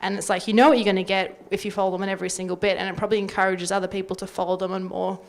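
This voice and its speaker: Creaky-voiced woman, even delivery. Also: medium pitch, racing. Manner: informal, uses "like" and "sort of" often. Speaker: panellist at music industry workshop. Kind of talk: informal panel talk.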